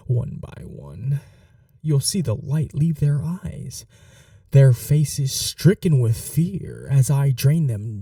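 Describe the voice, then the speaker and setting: A man playing a possessive, sadistic vampire lord in an ASMR roleplay, courtly, theatrical and taunting, with breathy drops into whispers. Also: on the high side, mellow, no room sound, studio quality, distant mic, exaggerated voice. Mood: cold menace. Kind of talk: dominant ASMR roleplay acting.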